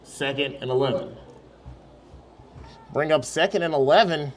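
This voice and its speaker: A man calling play-by-play for a youth football game, steady and rising on big plays. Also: fairly high pitch, measured pace. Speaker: play-by-play announcer.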